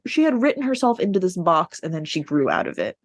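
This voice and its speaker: Female podcast co-host, slightly low voice, dry recording, conversational and opinionated. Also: very animated, fast, dry recording. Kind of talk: casual book discussion.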